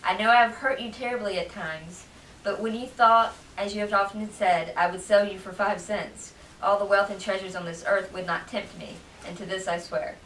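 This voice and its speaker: A woman gives a dramatic reading of old letters at a live event. Her voice is bright and sits at a middle pitch. The recording is echoey and picked up from a distance, with faint noise. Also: steady tone, normal speed. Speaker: reader at a public library event. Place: library room, reading to a small gathering.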